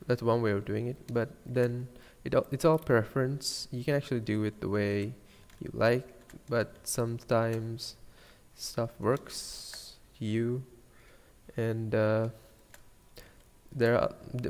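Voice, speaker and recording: Slow, gravelly Sri Lankan man in reverb. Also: fairly low pitch, a little mellow. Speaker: 3D animation tutorial streamer. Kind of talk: casual live tutorial, thinking aloud.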